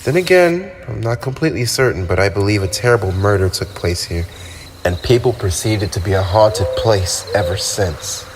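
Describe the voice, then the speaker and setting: A man's voice: on the low side, expressive, moderate pace, background noise, close to an everyday voice. A man playing a lonely, centuries-old vampire with wounded pride, speaking in wistful, formal, theatrical phrases in an ASMR roleplay. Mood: defensive, haughty. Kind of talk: ASMR roleplay monologue addressed to the listener. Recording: night ambience with crickets and owls.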